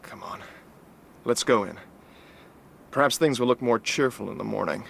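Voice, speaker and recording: An actor playing a brisk, plain-spoken young heir in a radio drama, with a bluff, direct, informal manner. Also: male voice, medium pitch, lively intonation, gravelly, fairly bright tone. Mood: uneasy, determined. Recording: outdoor ambience noise, vehicle sounds.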